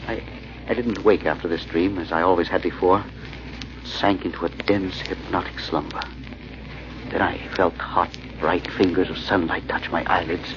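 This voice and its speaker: An actor playing an earnest, haunted amateur sleuth who also narrates an old-time radio thriller, dramatic and slightly halting. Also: male voice, low-pitched, steady tone, lightly acted. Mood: brooding. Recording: muffled old radio with heavy hiss.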